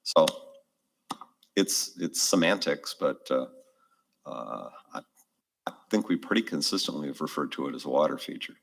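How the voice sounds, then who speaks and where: A man's voice: a bass voice, lively intonation, moderate pace, creaky, long pauses. A man at a city council meeting answering questions, explaining things with hedges and restarts. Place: council chamber. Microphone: desk microphone.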